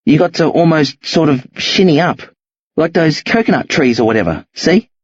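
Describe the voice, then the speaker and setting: A man voicing a blunt, streetwise teenage boy who talks urgently and insistently in a husky voice. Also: a little high, expressive, normal speed, no echo, dull audio, voice pushed up. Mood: impatient. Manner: urgent, insistent, rough slang.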